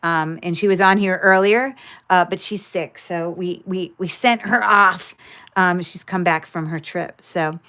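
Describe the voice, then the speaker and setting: Woman, livestream seller, chatty, filler-heavy, lower voice, crisp clear sound. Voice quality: describes own voice as loud. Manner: chatty, many fillers, thinks aloud while handling items. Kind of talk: casual livestream sales chat.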